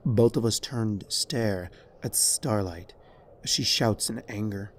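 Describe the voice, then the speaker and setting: A man reading dramatic fiction as narrator in a somber, measured way. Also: on the low side, slight background noise. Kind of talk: dramatic scripted story narration.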